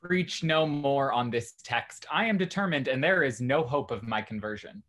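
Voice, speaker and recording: An actor playing a genial, courteous young gentleman in love, in a Restoration stage comedy over a video call. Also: medium pitch, expressive, normal speed, lightly acted. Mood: earnest. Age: young adult.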